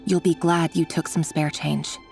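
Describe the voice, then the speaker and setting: Earnest young game heroine, measured, guarded, resolute, protective, soft music behind. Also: female voice, low-pitched, level tone, vocal fry. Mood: caring, firm. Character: earnest, secretive, protective young woman hiding her lineage. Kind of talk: scripted video game voice acting. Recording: game dialogue with music underneath.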